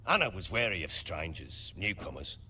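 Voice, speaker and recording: A burly middle-aged baron from a fantasy game. His voice is low, rough and forceful, swinging widely in pitch, with a gruff, defensive bluster. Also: very animated, moderate pace, slight background noise, heavily acted. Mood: gruff, wary. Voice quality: rough, husky. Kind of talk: video game voice acting.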